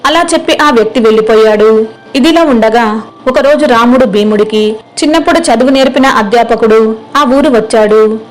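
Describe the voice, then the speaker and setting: A woman's voice: on the high side, normal speed, a little hiss or hum, low-quality recording. A woman storyteller reading scripted narration for a moral tale.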